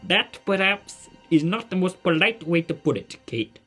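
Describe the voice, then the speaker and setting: A man's voice: high voice, animated, normal speed, sharp tone, breathy edge, soft background music, heavily acted. A man playing a polite, modest genius mentor in a family audio drama, with formal, hesitant phrasing. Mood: gentle, measured.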